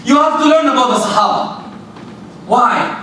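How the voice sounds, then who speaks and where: A middle-aged man with a loud voice giving a religious talk to young people, talking to them directly with many rhetorical questions. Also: extremely high pitch, moderate pace. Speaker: lecturer addressing youth. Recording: very echoey hall, distant mic, faint noise.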